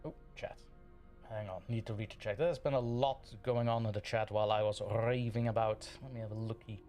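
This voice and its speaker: A man's voice: on the low side, steady tone, a little fast, darkish tone, faint music behind. Chatty man hosting a livestream. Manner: chatty and playful, often chuckling. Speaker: livestream host reading chat. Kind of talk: casual livestream chat.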